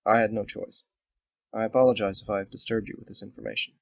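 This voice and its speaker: A man's voice: fairly low pitch, steady tone, fast talker, vocal fry, no room sound, highs cut off. A man voicing a stern female soldier who speaks formally and in clipped phrases. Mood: grave.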